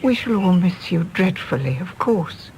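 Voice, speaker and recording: Disillusioned tired mum, radio drama, expressive voice, faint noise in background. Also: female voice, lowish voice, measured pace, poor audio. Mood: polite, subdued. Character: weary, disillusioned mother, sad and resigned.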